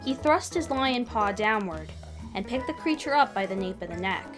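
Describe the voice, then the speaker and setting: A woman reading fan fiction aloud as an expressive third-person narrator with dramatic pauses. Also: a little high. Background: background music.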